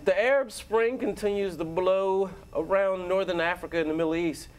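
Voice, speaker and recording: A man's voice: high voice, moderate pace. A man hosting a joking studio panel chat, teasing his guests and laughing often. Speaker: panel show host.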